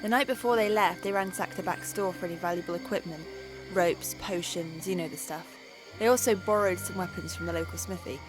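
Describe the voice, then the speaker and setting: A woman's voice: lowish voice, brisk, music in the background, natural voice. A woman playing a businesslike half-elf scout in a fantasy audio drama, talking in a conversational, matter-of-fact way. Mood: serious.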